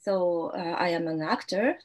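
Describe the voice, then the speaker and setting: A woman from Japan with a Japanese accent, introducing herself in measured English as a student on a video call. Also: fairly low pitch, darkish tone. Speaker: actor and student.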